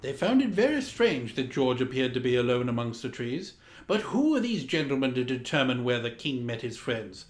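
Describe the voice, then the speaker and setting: A man's voice: mid-range voice, very animated, normal speed. A man reading a children's story in a pompous, grandly formal and theatrical way, with dramatic emphasis. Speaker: storyteller, host of a story time. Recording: roomy, echoing room sound.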